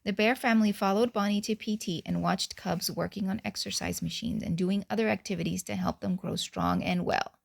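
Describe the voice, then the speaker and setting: A woman's voice: mid-range voice, fairly even intonation, fairly quick, vocal fry, hardly any pauses. A woman reading a picture book aloud to children, with chatty asides to them.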